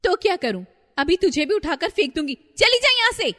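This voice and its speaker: Sharp bossy young bahu type, woman voicing her, loud and high, reverb on it. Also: animated, moderate pace. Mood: fierce. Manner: sharp, shouting. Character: defiant young daughter-in-law, sharp and scheming. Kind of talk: cartoon story voice acting.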